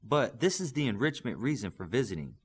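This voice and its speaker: A man's voice: mid-range voice, sing-song, fairly quick, slightly rough. A man voicing an earnest, modest young male superhero with a questioning manner in an audiobook. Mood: earnest, explaining.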